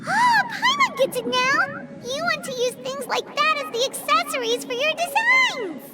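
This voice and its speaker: An extremely high, childlike fairy sidekick from a video game, earnest and easily excited. The delivery bounces widely up and down, full of exclamations, over prominent music. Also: female voice, moderate pace. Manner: refers to self in third person, exclamatory. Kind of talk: animated video game voice acting. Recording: music behind the voice.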